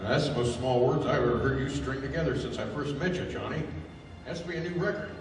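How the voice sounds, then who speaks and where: A man's voice: medium pitch, quick pace, natural voice. A man playing a plain-spoken, loyal tough-guy sidekick in a 1930s radio adventure, blunt and folksy. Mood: wary, blunt. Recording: echoey hall, distant microphone.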